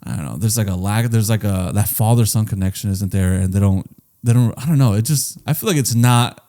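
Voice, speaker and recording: A man's voice: lowish voice, a little fast, mellow. A man in his late twenties co-hosting a podcast, rambling and emphatic in casual chat, swearing often. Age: late 20s. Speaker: podcast co-host.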